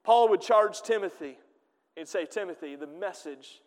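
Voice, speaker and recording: A man's voice: high voice, moderate pace, long reverb tail. A man preaching a sermon with fervent delivery, his voice swelling and dropping as he reads scripture in old Bible wording.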